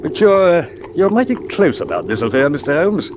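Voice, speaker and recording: A man's voice: a little high, very animated, fairly quick. A man playing a plain-spoken, wary London policeman in a radio drama, with a homely, colloquial delivery. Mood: uneasy, curious. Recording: noisy radio drama recording.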